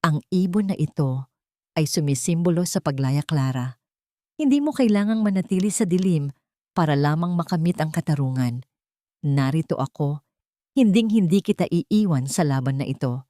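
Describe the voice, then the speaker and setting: A woman voicing a tender, devoted man who speaks gently and reassuringly in a romantic drama. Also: low-pitched, animated, moderate pace, no echo, clean studio sound.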